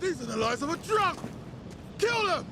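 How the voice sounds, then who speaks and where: An actor playing an enraged, paranoid military commander in a game cutscene, shouting commands. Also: male voice, very high voice, very animated, moderate pace. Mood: furious, defiant. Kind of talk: dramatic video game cutscene acting. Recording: very noisy, distant game cutscene audio.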